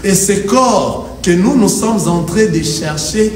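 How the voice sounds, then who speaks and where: A man's voice: high-pitched, lively intonation, slow pace, a crowd in the background. A man preaching a sermon as pastor, emphatic and rhythmic, with the congregation answering. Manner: emphatic preaching with call-and-response. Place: church hall. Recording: echoey hall, distant mic, faint noise. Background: congregation answering amen.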